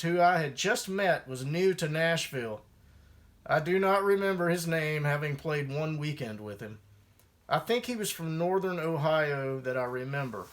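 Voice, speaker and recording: A man's voice: a little high, a bit bright. A man reading his own first-person memoir aloud as a musician, in a wry storytelling manner.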